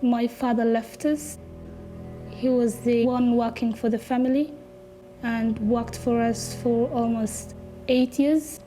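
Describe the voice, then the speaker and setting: Young female student, higher voice, slow pace, interview testimony. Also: fairly even intonation, mellow, soft background music. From Somalia.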